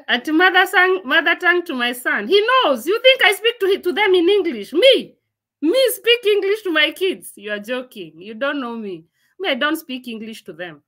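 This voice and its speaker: A woman in her forties hosts a laid-back livestream from home, reading viewer comments aloud and replying between bursts of laughter. Her voice is high and bright with a forward ring, and it is picked up by a laptop mic at arm's length. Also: expressive, moderate pace. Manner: chatty, laughs often, reads comments aloud and answers them. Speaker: livestream host. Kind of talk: casual livestream chat answering viewer comments. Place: room at home, indoors.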